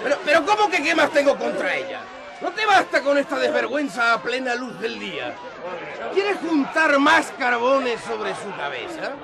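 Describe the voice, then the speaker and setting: A coarse, vengeful betrayed husband in a radio serial. His voice is pushed extremely high with indignation, and a crowd clamors around him. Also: very animated, moderate pace, creaky, full character voice. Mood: indignant. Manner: shouting, indignant, abusive. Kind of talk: radio drama acting.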